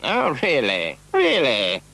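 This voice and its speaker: A man voicing a big bad male wolf who is sly and hungry, drawing out his words slowly. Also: mid-range voice, wildly swooping pitch, gravelly, pushed and bright, voice pushed up, full character voice. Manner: slow, drawn-out, sly wheedling.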